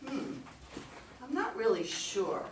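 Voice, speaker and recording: A woman's voice: on the high side, very animated, slow. A woman in a staged play reading as an anxious, talkative client, earnest in manner. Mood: chatty, earnest. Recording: distant mics, echoey room, faint noise.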